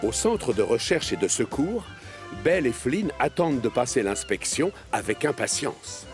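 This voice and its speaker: A man's voice: medium pitch, sing-song, normal speed. A man narrating a scripted children's story as a storyteller. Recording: music behind the voice.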